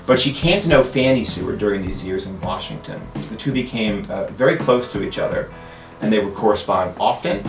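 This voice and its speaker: Low-voiced man presenting in an echoey room. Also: lively intonation, a little fast, soft background music. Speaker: museum education director, stunt host. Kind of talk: presenter talk to camera.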